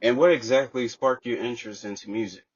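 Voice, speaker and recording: A man's voice: medium pitch, fairly quick, breathy edge. A man hosting a podcast, opening with a hyped intro and then asking casual interview questions, repeating catchphrases. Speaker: podcast host. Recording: dull lo-fi mic.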